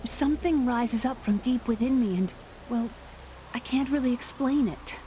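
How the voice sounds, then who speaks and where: A woman's voice: fairly high pitch, normal speed, noisy background, lightly acted. An actress playing an earnest, curious young woman in a fantasy video game. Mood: confiding. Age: young adult.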